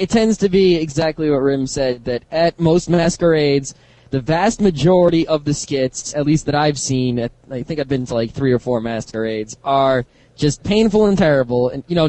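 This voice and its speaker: A man's voice: fairly high pitch, darkish tone, no echo, poor audio. A man in a casual podcast chat, giving opinions in a slightly weary way. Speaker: podcast guest.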